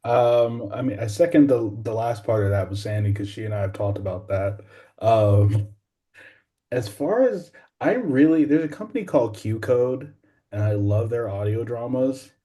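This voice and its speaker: A man's voice: medium pitch, animated, moderate pace, warm tone. A man talking casually on a podcast panel as a voice actor. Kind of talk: casual chat. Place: library, on a remote call.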